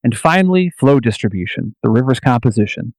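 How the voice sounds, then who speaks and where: A man giving solemn, scripted narration for a radio play in measured phrases. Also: mid-range voice, expressive, a little mellow, dry recording, poor audio.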